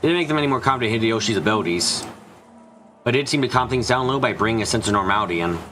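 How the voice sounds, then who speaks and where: Brisk, bright-voiced man narrating a story. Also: fairly low pitch, expressive, a little hiss or hum. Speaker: narrator and reader of a fan dub.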